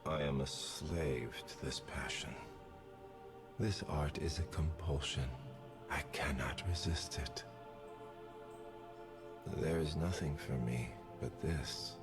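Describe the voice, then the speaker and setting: An actor voicing a theatrical male assassin who treats killing as art, slow and grandiose, often whispering, in game voice lines. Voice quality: deep gravelly voice. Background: music.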